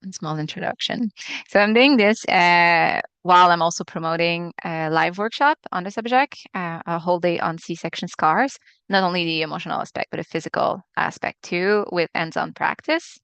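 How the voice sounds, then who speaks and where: A woman whose first language is French speaks with a Quebec accent, leading a webinar. Her voice sits low and sounds smooth and clear. The recording is dry and close, like a video call. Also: moderate pace, a bit bright, dry recording. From Quebec, Canada. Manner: hesitant, many uh fillers. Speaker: acupuncturist webinar presenter. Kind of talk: live webinar lecture.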